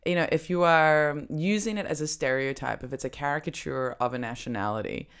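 Podcast co-host and comedian, mid-pitched voice, chatty, thinks aloud, laughs often. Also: fairly quick, a bit bright, clean tone. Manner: chatty, laughs often, talks through ideas with many fillers. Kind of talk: casual chat.

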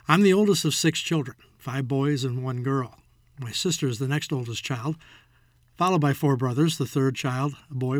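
A man's voice: mid-range voice, no echo, studio quality. A man in his sixties with an American accent, reading his own written childhood memoir as a scripted narration. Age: 60s.

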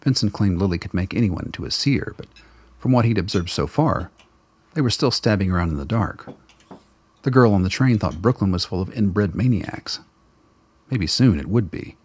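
Husky low-voiced male narrator. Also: animated, moderate pace, darkish tone. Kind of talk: scripted narration.

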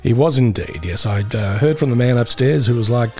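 Gravelly, lively Australian man. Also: mid-range voice, faint music behind. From Geelong, Australia. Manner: easygoing, laughs often, measured. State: slightly hoarse. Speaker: podcast co-host. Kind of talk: casual chat.

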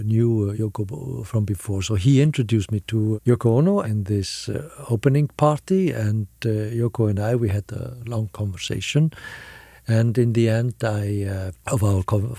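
Older Icelandic man, mellow and halting. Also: fairly low pitch, creaky, no echo, studio quality. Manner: halting, deliberate, frequent hesitations. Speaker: interview guest, art curator. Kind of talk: conversational recollection.

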